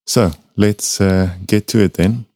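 A young man from South Africa hosting a casual podcast from his home studio, talking in a relaxed, rambling way with many fillers. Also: fairly low pitch, slow pace, no room sound. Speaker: podcast host.

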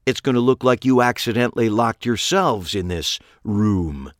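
A man's voice: medium pitch, lively intonation, moderate pace, no room sound, clean studio sound, pitched above the performer's own voice, lightly acted. A male narrator voicing a smug, menacing killer who lectures his captives in a taunting manner. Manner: taunting, lecturing, enjoys explaining. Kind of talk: audiobook character reading.

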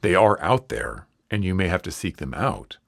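A man with a very low voice and a cold hoarseness hosts a solo podcast. He talks straight into a close mic in a dry, deadened room. His manner is earnest and reassuring. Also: sing-song, normal speed, no room sound. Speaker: podcast host.

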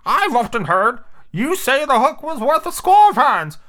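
A man's voice: very high-pitched, big pitch swings, normal speed, bright, forceful tone, voice pushed up, exaggerated voice. A man voicing an eager, dim-witted pirate bosun from a storybook, in a bubbly, jowly voice. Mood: eager, simple. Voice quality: bubbly, loose-lipped and jowly. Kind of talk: storybook character voice.

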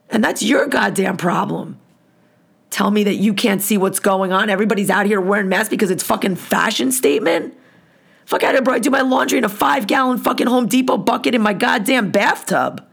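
Hoarse woman host, gravelly, blunt and foul-mouthed, heated rant delivery. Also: mid-range voice. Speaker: podcast host. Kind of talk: angry profane rant.

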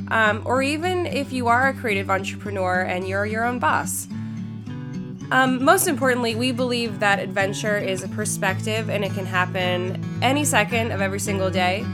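Congested young woman, podcast host, upbeat, chatty, lots of fillers, guitar music behind. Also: medium pitch. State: congested from a flu. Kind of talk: podcast intro and interview.

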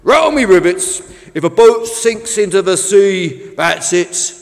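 A man voicing an excitable fishing boat in a children's story, with salty sailor talk and dramatic exclamations. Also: high voice, unhurried, in a large hall, voice pushed up. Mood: gleeful, ominous. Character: excitable fishing boat, gleeful teller of scary sea tales.

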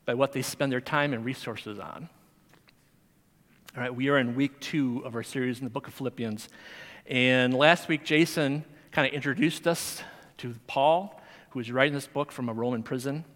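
Male lay teacher, mid-pitched, casual sermon delivery, long church reverb. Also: fairly bright tone. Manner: conversational, chatty, with frequent fillers and restarts. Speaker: Sunday school teacher. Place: church sanctuary.

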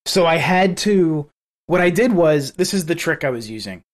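A man's voice: a little high, animated, moderate pace. A man co-hosting a podcast in casual chat, rambling and laughing often between thoughts. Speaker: podcast co-host.

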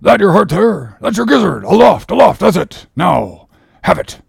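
A man voicing a bossy, mocking sailor officer in an audiobook, animated and needling, with a gravelly rasp. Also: sits high, moderate pace, no room sound, studio quality, pitched above the performer's own voice, full character voice. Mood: mock-stern. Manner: animated, needling, mock-solemn interrogation. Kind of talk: audiobook character reading.

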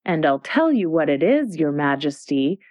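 A woman's voice: low voice, very animated, darkish tone, dry recording. Woman doing a polite, earnest young girl. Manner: earnest, quick when arguing.

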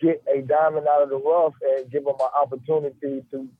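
A man giving a casual radio interview as a guest, talking haltingly and peppering his speech with "you know" and "man". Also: mid-range voice, little pitch movement, no echo. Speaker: guest, rapper and music business man. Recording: tinny phone line.

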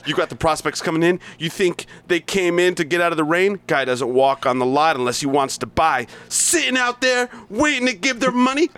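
A man's voice: fairly high pitch, a bit bright, pitched above the performer's own voice. A man reading aloud as a brash, abusive male sales boss, berating his staff and swearing, at times stumbling over the script. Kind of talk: amateur reading of a scene.

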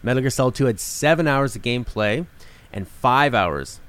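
A man's voice: medium pitch, lively intonation, moderate pace, a bit bright, smooth. A man co-hosting a casual discussion, explaining things in a conversational way. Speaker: podcast co-host discussing video games.

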